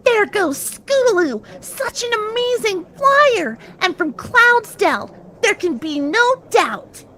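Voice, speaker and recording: Woman playing a very high, admiring young pony. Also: sing-song, slow pace, sharp tone, full character voice. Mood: excited admiration. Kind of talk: audio drama fanfic reading.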